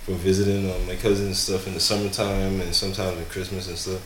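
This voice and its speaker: A man's voice: low voice, completely flat delivery, fast talker, roomy sound, a little hiss or hum. A man from the Bahamas with a light Bahamian accent, a rapper guest talking casually and easily in slangy speech. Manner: laid-back, slangy. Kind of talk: casual interview chat.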